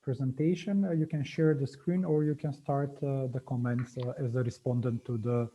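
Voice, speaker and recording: A man chairing an online session, hesitant and filling pauses with "uh". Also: medium pitch, steady tone, warm tone, muffled recording, slight background noise. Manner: hesitant, many "uh" fillers. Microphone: video call.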